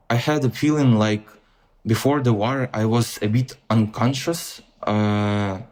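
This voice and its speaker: A young man with a Ukrainian accent, a podcast guest answering in a halting, reflective way with many pauses and restarts. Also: fairly low pitch, a little mellow. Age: young adult.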